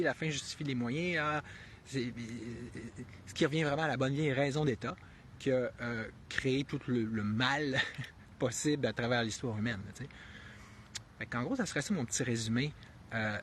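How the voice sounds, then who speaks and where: A Québécois man talks off the cuff on a live stream, circling his point with restarts and fillers. His voice sits at an ordinary middle pitch for a man, and he grows earnest and pointed when he asks himself questions. A faint hum of room ambience hangs behind him. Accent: Québécois French. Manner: rambling, thinking aloud with many restarts and fillers; earnest, with bursts of emphatic rhetorical questions. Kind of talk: unscripted live commentary.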